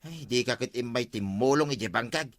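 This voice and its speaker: A man's voice: mid-range voice, brisk, close to an everyday voice. A man with an Ilocano accent playing a scolding village man who trades jibes in a radio comedy drama.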